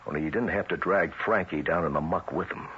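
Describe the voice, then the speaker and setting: An actor playing a streetwise, grimly vengeful federal agent in 1970s radio drama, with tough, clipped, colloquial delivery. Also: male voice, low-pitched, a little fast, breathy edge. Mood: bitter, brooding. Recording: muffled vintage radio recording, faint hiss.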